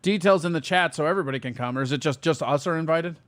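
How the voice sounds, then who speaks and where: This is a man with a slightly high speaking voice, captured dry and close. He hosts in a casual comedic style. His banter is sardonic and crude, full of teasing. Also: very fast, fairly bright tone, no room sound. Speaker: podcast host taking a call.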